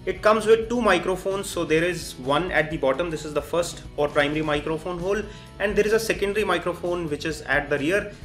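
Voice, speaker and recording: High-pitched man reviewing tech. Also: brisk, a bit bright, soft background music. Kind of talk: phone review commentary over demonstration.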